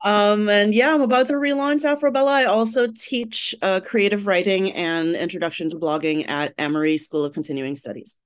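A woman's voice: mid-range voice, fairly quick, hardly any pauses, smooth, dry recording. A woman from Trinidad, a writer and blogger, chatting as a podcast guest and telling her story candidly with frequent laughs. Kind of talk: casual interview chat.

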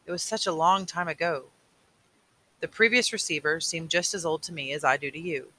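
A man reading aloud as a weary old mentor, patient and burdened, with a slow, earnest delivery. The voice sits a little high and comes out sharp and bright. It sounds like a tired elder in a storybook. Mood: solemn. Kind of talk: audiobook reading.

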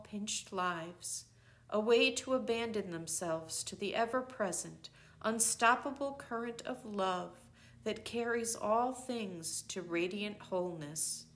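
An older woman reading a devotional book aloud in a gentle, measured way, pausing between phrases. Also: mid-range voice. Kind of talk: slow reflective book reading.

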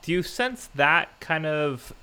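A man's voice: on the high side, expressive, slow pace, pushed and bright, clean tone. A man hosting a podcast, chatting casually and asking follow-up questions. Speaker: podcast host. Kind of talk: casual interview talk. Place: Iceland.

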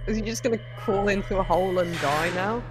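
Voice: medium pitch, a little fast. A co-host chatting casually with wry, mock complaints while reacting to a film. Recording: film soundtrack music behind. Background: film being watched, music.

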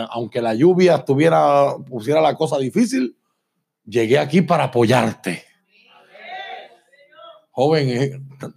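A male preacher giving a church sermon, slowing down to stress points and often asking "¿verdad?". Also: medium pitch, a little mellow, breathy edge. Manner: emphatic, slows and repeats for stress, asks "¿verdad?". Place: church sanctuary.

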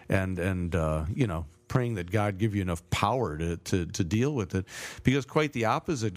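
A man co-hosting a casual theology podcast, speaking haltingly and often repeating words as he works out his point. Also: on the low side.

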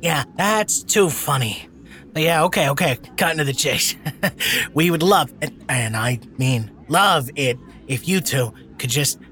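A man's voice: mid-range voice, sharp tone. An actor playing a brash, smarmy personal trainer and spokesman in an audio drama, with glib, over-friendly, chuckling patter. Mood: slick, wheedling.

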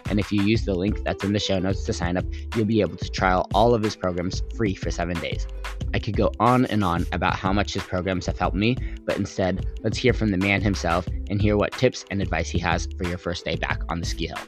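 A man hosting a podcast, giving an upbeat intro and asking relaxed, curious questions. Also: deep voice, fairly quick, over music. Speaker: podcast host.

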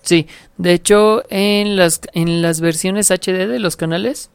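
A co-host, talking in a measured, informative way in a casual chat. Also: medium pitch, steady tone, gravelly, clear, resonant tone, no room sound.

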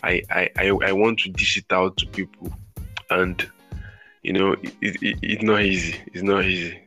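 A man's voice: sits low, flat delivery, bright, forceful tone, slightly rough, soft background music. A male podcast host, chatting casually and restarting his sentences often. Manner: halting, many restarts and repeated words.

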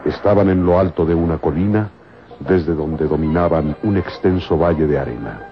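A man's voice: deep voice, normal speed, natural voice. A man acting as the solemn, suspenseful narrator of an adventure radio serial, with declamatory scripted delivery. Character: solemn dramatic male storyteller of an adventure serial. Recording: dull old radio recording with music.

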